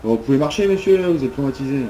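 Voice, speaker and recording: A man's voice: mid-range voice, expressive, racing, mellow. A man improvising as a police officer in an online role-play game, explaining things in a hesitant, rambling way. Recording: dull mic with background noise.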